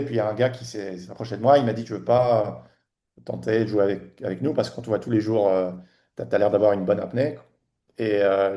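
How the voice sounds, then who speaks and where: Halting, low-voiced man in his forties. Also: warm tone. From Tunisia. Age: 40s. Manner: halting, many restarts and fillers. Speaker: podcast guest, freediver. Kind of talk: casual interview chat.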